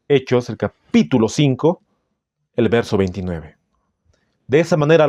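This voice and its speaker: A man with a Bolivian Spanish accent preaching in a solemn, didactic way, reading out passages with deliberate phrasing. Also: mid-range voice, darkish tone, dry recording, highs cut off. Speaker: Adventist preacher. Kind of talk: sermon with scripture and book readings.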